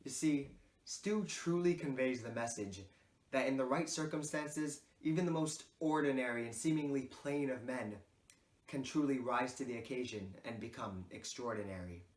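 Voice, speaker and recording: A man's voice: medium pitch, moderate pace. A man giving a formal, earnest scripted narration as presenter of a reader's theater piece. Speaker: presenter of a literature class project. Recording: roomy echo.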